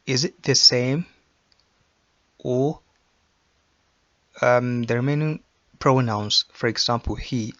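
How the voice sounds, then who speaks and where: A man from Nigeria teaches a grammar lesson at a very slow, careful pace, going over each example sentence more than once. His voice is bright and forward, with a plain middle pitch. The recording sounds a little dull and lo-fi. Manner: repeats example sentences slowly, with filler ums. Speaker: English teacher.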